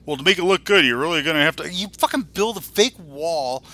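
Blunt, bright, higher-voiced man in his forties. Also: animated, a little fast. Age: 40s. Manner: blunt, profane, irreverent. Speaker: podcast co-host. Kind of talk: casual ranting chat.

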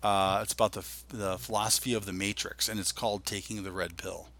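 A man's voice: on the low side, little pitch movement, a little fast, bright, forceful tone. A man in his forties hosting a podcast, chatting casually about geek culture in a blunt, profane way. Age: 40s. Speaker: podcast host.

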